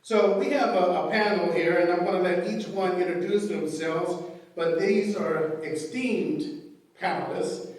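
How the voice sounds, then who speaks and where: Male panel moderator, rather high voice, booming church echo, mic at a distance; loose, filler-heavy delivery. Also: steady tone, a little slow. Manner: conversational, loose, with frequent fillers. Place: church sanctuary.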